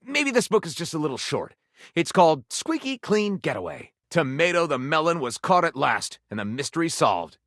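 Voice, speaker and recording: A theatrical male storyteller from a video game, narrating with wry relish. His slightly high voice moves through wide, animated swings of pitch. He lingers on titles with dramatic pauses, in a dry studio-clean sound. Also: no room sound. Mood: playful, wry.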